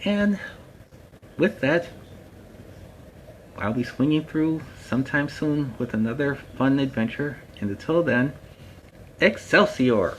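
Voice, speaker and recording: A man's voice: mid-range voice, expressive, slow pace, long pauses, fairly bright tone, slight background noise, pitched above the performer's own voice, poor audio. A man playing a friendly superhero storytime host, talking casually and earnestly to viewers in a plainspoken, emphatic way. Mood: warm, sincere. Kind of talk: casual instructive talk to viewers.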